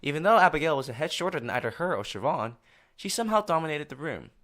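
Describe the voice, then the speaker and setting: A man performing as a wry narrator for an audio drama. He reads at a fast clip with very lively intonation and a literary, observant tone. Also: on the high side.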